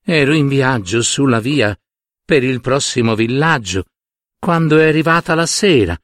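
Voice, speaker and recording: A man voicing a frail, sick old beggar woman who pleads slowly in a weak, chilling voice. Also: mid-range voice, lively intonation, a bit bright, no echo, clean studio sound, natural voice. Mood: pleading, weak. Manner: slow, imploring.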